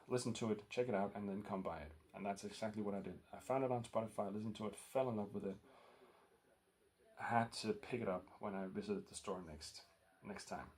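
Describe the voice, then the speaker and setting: A man's voice: fairly low pitch, fairly even intonation, brisk, darkish tone. A man with a Danish accent, a native Danish speaker, casually showing off records in a rambling vlog full of ums and uhs. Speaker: record collector vlogger.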